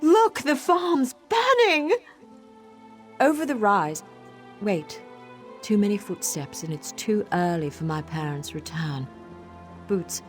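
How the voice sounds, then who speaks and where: A woman's voice: medium pitch, big pitch swings, slow, long pauses, soft background music. An actress voicing an earnest, sheltered young elf woman in a fantasy game, emotionally open and dramatic. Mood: anxious, alarmed.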